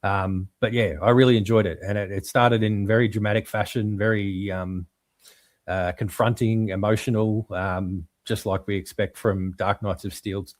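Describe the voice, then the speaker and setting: A male podcast co-host chatting casually about comics, halting and full of ums and restarts but enthusiastic. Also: lowish voice, fairly even intonation, no room sound.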